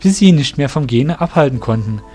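A man narrating a fan-made audio drama in a calm, even storytelling manner. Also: medium pitch, a little fast. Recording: soft background music.

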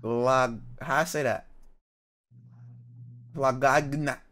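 A man's voice: mid-range voice, steady tone, slow, a bit bright. A young man casually reviewing rap songs from a home stream, hesitant and trailing off. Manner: laid-back, hesitant, trailing off. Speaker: reaction host. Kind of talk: casual music review chat. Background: music playing.

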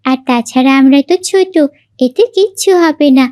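A woman voicing a gentle, agreeable little girl in a children's cartoon, reasoning earnestly with her playmate. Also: high voice, normal speed, lightly acted.

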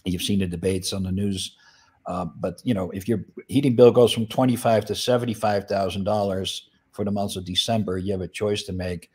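A man's voice: deep voice, fairly even intonation, brisk, a little mellow, slightly rough. A middle-aged man from the Netherlands, explaining his business in a video call interview with many fillers. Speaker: guest, flower business owner. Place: indoor room.

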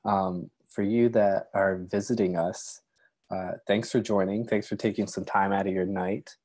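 A man's voice: low voice, fairly even intonation, a little slow, a little mellow. A young man with a cold, nearly losing his voice, moderating a webinar in an easygoing way full of ums, on an earbud mic from a small room at home. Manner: easygoing, many ums and uhs. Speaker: doctoral candidate, webinar moderator. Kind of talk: casual webinar talk. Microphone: earbud headset mic, video call.

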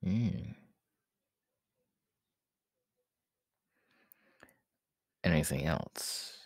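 A man playing a proud, menacing, world-weary demon king in an ASMR roleplay, talking in a deliberate, haughty way that often sinks into breathy whispers. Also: rumbling low, expressive, no room sound, clean studio sound, full character voice. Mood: scheming, guarded. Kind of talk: ASMR audio roleplay acting.

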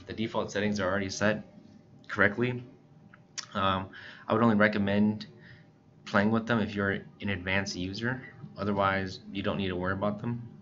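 A man giving a casual software walkthrough as a tutorial presenter, speaking informally and a bit hesitantly with frequent short pauses. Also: on the low side. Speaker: tutorial presenter for a scanner retailer. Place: indoors, voice-over for screen recording. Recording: muffled, lo-fi with clear background noise.

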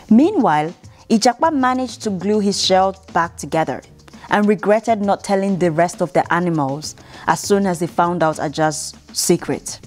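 A young woman from Nigeria reads a children's bedtime story in a measured, expressive way, with dramatic pauses. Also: mid-range voice. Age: young adult. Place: indoor room, seated by a piano. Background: music.

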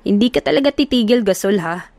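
A woman's voice: medium pitch, expressive, fast, a little mellow, dry recording, lightly acted. A woman voicing a cocky, teasing young man who talks in a smug way.

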